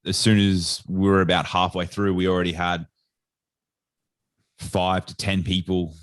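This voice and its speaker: Deep-voiced Australian man, chatty and rambling. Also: flat delivery, measured pace, no echo, studio quality. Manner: rambling storyteller, heavy on "like" and "sort of". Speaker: guest, sports nutritionist. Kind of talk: casual podcast conversation.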